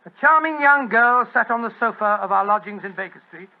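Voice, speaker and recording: An actor playing a genial elderly English doctor with a British accent and an avuncular, bumbling manner, in 1940s radio style. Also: male voice, extremely high pitch, lively intonation, fairly quick. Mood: nostalgic, cosy. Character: genial elderly English doctor reminiscing, loyal detective's companion. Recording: muffled old-time radio recording.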